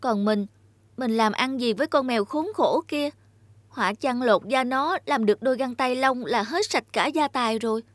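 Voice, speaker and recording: A woman's voice: on the high side, normal speed, no room sound, close to an everyday voice. A woman voicing a poor, anxious youngest brother in a fairy-tale cartoon, earnest and plaintive. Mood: worried, plaintive.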